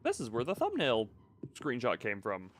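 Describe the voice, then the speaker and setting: A man's voice: mid-range voice, huge pitch swings, normal speed. A man giving casual live gameplay commentary, rambling, self-deprecating and thinking aloud. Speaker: video game streamer. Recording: faint background noise and game ambience.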